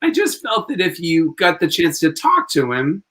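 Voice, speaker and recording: A man playing a friendly, reasonable gentleman with an easygoing, explanatory manner in a 1940s-style radio play. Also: a little high, huge pitch swings, moderate pace, exaggerated voice.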